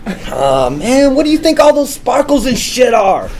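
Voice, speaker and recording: An actor playing an argumentative young stoner buddy, slangy and insistent, in a drama table read. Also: male voice, very high-pitched, very animated, measured pace, a little mellow, a little hiss or hum, heavily acted.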